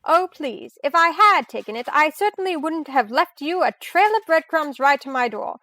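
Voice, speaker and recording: A woman playing a brisk, sardonic professor and treasure hunter in an old-time radio detective drama, tossing off confident wisecracks. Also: high voice, animated, sharp tone, dry recording, exaggerated voice, rough recording. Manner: quick sarcastic wisecracks, confident.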